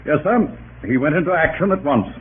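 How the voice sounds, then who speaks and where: An actor playing a brisk, dutiful army officer with a clipped, deferential manner in 1930s radio drama. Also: male voice, on the high side, animated, slightly rough. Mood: respectful, clipped. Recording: muffled, narrow-band 1930s radio recording.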